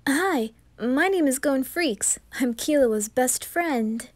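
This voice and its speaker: A woman voicing a sweet, soft-hearted young boy adventurer, earnest and pleading, in a fan roleplay drama. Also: high-pitched, lively intonation, slow, voice pushed up. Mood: cheerful, eager.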